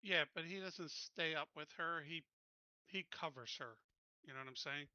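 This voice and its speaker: Very bright, forceful male gamer. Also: fairly high pitch, moderate pace, dry recording, dull audio. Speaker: tabletop game player. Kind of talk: casual game chat.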